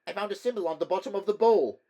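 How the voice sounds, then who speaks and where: A man doing a shrill, terrified temple clerk. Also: quick pace, mellow, close to an everyday voice. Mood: fearful. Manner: shrill, cracking, frantic.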